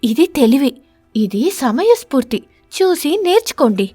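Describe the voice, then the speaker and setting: Lady doing cartoon stingy sparrow wife, super high voice, bossy and smug, dramatic. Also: very animated, measured pace, mellow, no room sound, faint music behind. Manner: theatrical, scolding, self-satisfied.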